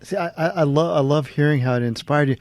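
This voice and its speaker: A man's voice: fairly high pitch, fast, darkish tone, dry recording, clean studio sound. A man hosting an interview casually, with an enthusiastic, admiring manner. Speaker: interview host.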